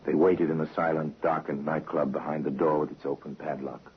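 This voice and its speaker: A man narrating a crime story in a grave, deliberate, suspenseful manner, as host of a vintage radio show. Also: a bass voice, flat delivery, breathy edge. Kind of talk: scripted dramatic narration. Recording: hissy muffled vintage radio recording.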